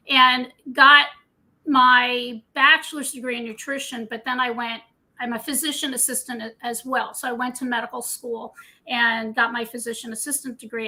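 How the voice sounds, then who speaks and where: A woman's voice: fairly high pitch, bright, forceful tone, low-quality recording. An older woman from Scotland, a guest dietitian, telling her career story in a candid, chatty way. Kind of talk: casual interview chat.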